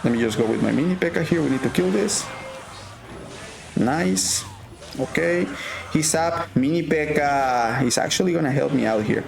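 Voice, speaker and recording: A man's voice: fairly high pitch, a little fast, gravelly, echoey room, soft background music. A man from Mexico with a Mexican Spanish accent, casually commentating his own gameplay and thinking aloud with frequent fillers. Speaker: gaming YouTuber.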